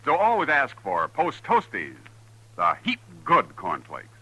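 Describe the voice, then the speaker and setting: A man with a husky voice delivering a 1950s radio commercial pitch as an announcer. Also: wildly swooping pitch, measured pace, low-quality recording.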